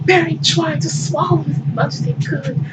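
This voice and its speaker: A woman's voice: very high voice, steady tone, moderate pace. A woman hosting a fan fiction show, reading aloud theatrically and commenting casually with amusement. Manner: theatrical, breaking into amused asides. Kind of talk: dramatic storytelling reading with casual asides. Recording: muffled, noisy, distant microphone.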